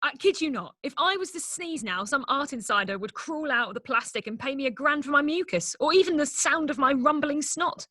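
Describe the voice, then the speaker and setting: Casting: actress for British woman artist, loyal pal, blunt and breezy. Forward, bright tone, close dry sound on a video call. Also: high voice, expressive, a little fast, few pauses, no room sound. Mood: breezy, wry. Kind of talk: script table read. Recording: video call table read.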